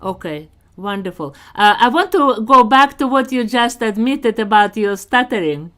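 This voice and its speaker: A woman from Russia with a Russian accent, hosting an online community interview in a measured, encouraging way. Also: a little high, lively intonation, a bit bright. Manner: measured, encouraging, unhurried with fillers. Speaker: host.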